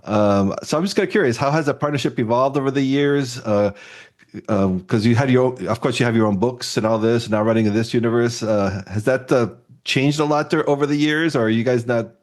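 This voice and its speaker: Halting, enthusiastic male podcast host. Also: medium pitch, a little mellow. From Costa Rica. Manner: enthusiastic, halting, repeats words. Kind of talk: casual interview chat.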